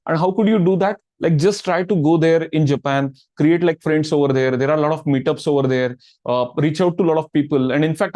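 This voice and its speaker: A man's voice: a little high, darkish tone, dry recording. Man peppering talk with "right, like". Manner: filler-heavy, repeats "right, like". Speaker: guest speaker answering questions. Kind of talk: casual Q&A talk.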